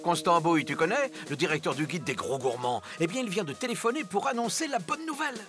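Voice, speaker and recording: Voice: mid-range voice, animated, fast, fairly bright tone, faint music behind, close to an everyday voice. An actor voicing a genial, encouraging adult authority figure in a cartoon. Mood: genial, announcing. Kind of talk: cartoon voice acting.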